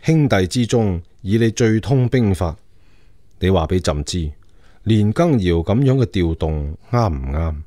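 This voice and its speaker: A stern Chinese emperor in a radio drama. His voice is very low and dryly recorded, and he speaks with measured, commanding authority. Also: expressive, warm tone, dry recording, studio quality. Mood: calm, probing. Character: Qing emperor, suspicious, stern, commanding ruler. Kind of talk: Cantonese radio drama acting.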